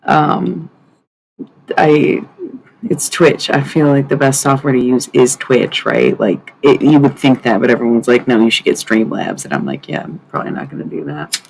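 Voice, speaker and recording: A woman with a raspy voice hosting a live stream from home at night, chatting loosely to viewers and swearing freely. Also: extremely low pitch, lively intonation, normal speed, a little mellow, slight background noise, low-quality recording. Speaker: livestream host. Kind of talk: casual live-stream chat. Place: at home at night, others asleep nearby.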